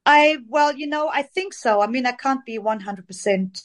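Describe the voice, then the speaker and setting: A middle-aged woman from Zambia, an interview guest, talking through her career in a relaxed, reflective way with many fillers. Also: high-pitched, normal speed. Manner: warm, reflective storytelling with fillers. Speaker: interview guest, dance singer. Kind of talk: casual interview conversation.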